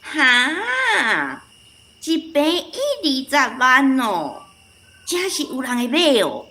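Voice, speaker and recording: An actress with a Taiwanese accent playing a chatty, easygoing elderly village granny in a radio drama. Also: female voice, high voice, wildly swooping pitch, extremely slow, pushed and bright, slight background noise, exaggerated voice. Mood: surprised.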